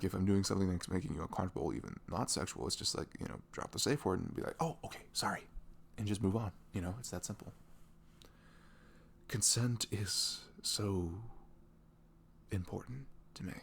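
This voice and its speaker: A man playing a sweet, caring boyfriend in an intimate ASMR roleplay, speaking softly and tenderly in a velvety, often breathy voice with earnest, rambling reassurance. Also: low-pitched, slight background noise. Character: sweet, caring, devoted young boyfriend talking in bed.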